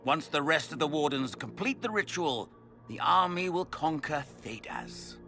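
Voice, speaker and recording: An actor playing a smug, theatrical villain, a cultist mage lord with a pompous, mocking manner in a video game cutscene. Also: male voice, a little high, expressive, moderate pace, sharp tone, faint music behind. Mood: gloating.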